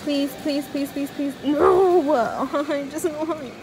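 A young woman vlogging casually in a large indoor mall, chatty and pleading excitedly at a claw machine, recorded on a handheld phone. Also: high-pitched, slow pace, background noise. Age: young adult. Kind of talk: casual vlog commentary. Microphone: phone camera at arm's length.

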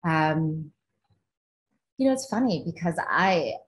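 Woman, deep low voice, online panel, reads questions aloud, hesitant restarts, video call. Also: lively intonation, takes it slowly. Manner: thoughtful, frequent ums and restarts. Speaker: literary translator and panelist. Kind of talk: online panel discussion, reading audience questions. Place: home living room indoors. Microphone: laptop video call.

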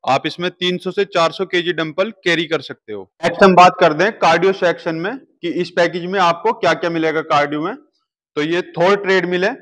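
A man in his thirties giving a steady sales walkthrough of gym machines in a warehouse, on a lapel mic. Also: sits high, a little fast. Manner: steady sales explanation, pointing at each machine. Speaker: gym equipment seller. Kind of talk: product walkthrough. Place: large indoor equipment warehouse.

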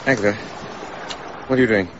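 An actor playing a courteous, slightly pompous former army colonel in a radio drama, with a British accent and a fussy, hesitant manner. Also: male voice, lowish voice, fairly even intonation, brisk, highs cut off, background noise, lightly acted. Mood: instructive, miffed.

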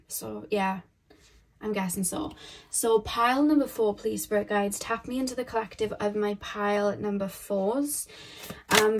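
A young woman chats her way through a tarot reading at a table, looping back over the same phrases with plenty of fillers. Her voice carries a slight croak, and her pitch sits in the middle range for a woman. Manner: chatty and rambling, repeats phrases, many fillers. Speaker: tarot card reader. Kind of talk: casual pick-a-card tarot reading. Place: indoors at a table, camera overhead.

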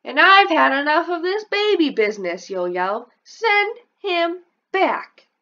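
A woman reads a picture book aloud to her class at a slow, patient pace, pausing to ask the children about the pictures. She raises her voice high for the kids in the story. The recording is a bit dull and lo-fi. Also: big pitch swings, bright, forceful tone. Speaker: preschool teacher.

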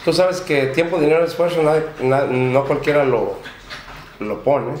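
A man's voice: on the high side, normal speed, darkish tone, roomy sound, slight background noise. A man answering interview questions, hesitant, often repeating and restarting his words.

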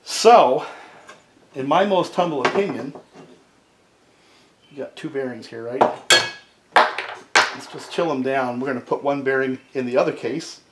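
A man's voice: mid-range voice, measured pace, pauses often. Middle-aged guy talking shop at his workbench, roomy echo, some noise behind. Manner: chatty, explanatory, says "right?" often. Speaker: chainsaw repair video host. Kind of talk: casual workshop demonstration. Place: cluttered home workshop, indoors. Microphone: camera mic on a tripod, speaker at the workbench. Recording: echoey workshop with background noise.

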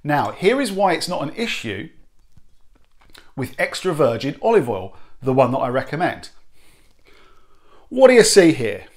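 A man, explaining nutrition to camera in an animated, emphatic way. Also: fairly high pitch, unhurried, long pauses. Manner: animated, emphatic, gestures with hands. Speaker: nutrition presenter. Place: home studio room. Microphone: close to camera, medium shot.

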